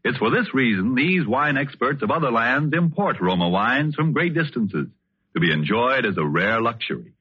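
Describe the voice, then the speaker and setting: A man's voice: medium pitch, sing-song, moderate pace, slightly rough, no room sound. An American man announcing a 1940s radio advertisement with polished, emphatic scripted salesmanship. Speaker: commercial announcer. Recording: muffled dry old radio recording.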